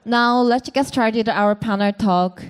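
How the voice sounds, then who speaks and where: A woman with a Korean accent opens and runs a conference panel, her voice sitting a little high. She talks with many fillers and small hesitations, as someone speaking in a second language on stage. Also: slow pace, clear, resonant tone. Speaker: panel moderator. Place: conference venue resembling a bar.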